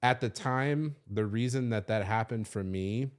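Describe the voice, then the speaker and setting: Man, 30s, lowish voice; confessional podcast host, casual, profane, filler-heavy. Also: unhurried, clear, resonant tone. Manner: loose and confessional, swears freely, many "like" fillers. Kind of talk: candid personal storytelling.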